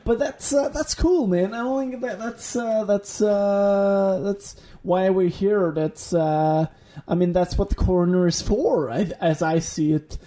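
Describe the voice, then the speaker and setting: A man whose first language is Danish, co-hosting a casual podcast chat, talking haltingly with many fillers and restarts. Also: high voice, mellow. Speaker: podcast co-host.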